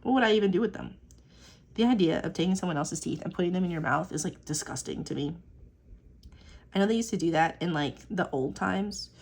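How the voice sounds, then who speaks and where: Chatty husky young woman rambling while drawing. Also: lowish voice, fairly quick, darkish tone. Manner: chatty, rambling, swears casually, many fillers. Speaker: artist vlogger. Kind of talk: casual rambling chat while inking.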